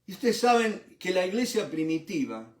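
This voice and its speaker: A man's voice: high voice, big pitch swings, moderate pace, reverberant, recorded from a distance. An older man from Argentina with an Argentine Spanish accent, teaching a Bible study in sermon style. He often asks rhetorical questions and keeps repeating "¿no es cierto?".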